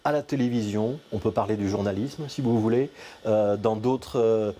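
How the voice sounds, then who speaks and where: A man hosting a TV studio interview, asking quick, conversational questions. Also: lowish voice, dark tone. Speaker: TV show host.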